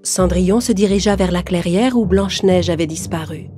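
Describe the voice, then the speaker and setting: A woman's voice: fairly low pitch, normal speed. A woman narrator reading a scripted fairy tale for children. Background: background music.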